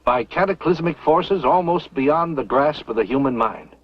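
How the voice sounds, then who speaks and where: Actor as a learned, animated professor. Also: male voice, a little high, moderate pace, natural voice. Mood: awed, dramatic. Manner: scholarly, theatrical explaining. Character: learned, courteous anthropology professor, enthusiastic and dramatic. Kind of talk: mid-century western drama acting. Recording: muffled vintage soundtrack, loud music.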